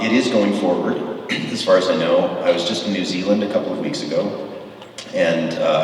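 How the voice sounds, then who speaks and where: A man's voice: low-pitched, a little hiss or hum. A middle-aged man telling enthusiastic, rambling stories as a guest on a convention panel, with lots of fillers. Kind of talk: convention Q&A storytelling. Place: large convention hall. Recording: echoey hall recorded from far off.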